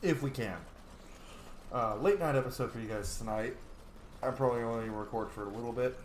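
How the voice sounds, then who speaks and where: A man hosts a casual roleplay gaming session, playful and animated as he answers the characters in his own voice. The room is echoey. A faint hiss runs underneath. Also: medium pitch, brisk. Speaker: let's play host. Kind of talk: casual gameplay commentary with voiced replies.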